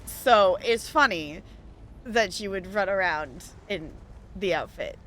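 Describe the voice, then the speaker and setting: A woman's voice: mid-range voice, lively intonation, slow, fairly bright tone, soft background music. A woman streamer chatting playfully and rambling through casual live commentary on a game.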